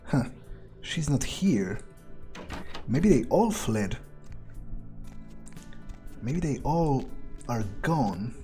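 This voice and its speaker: A man playing a game in character, thinking aloud in a halting, musing way with casual swearing. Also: medium pitch, big pitch swings, warm tone. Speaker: let's player speaking in character. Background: game music.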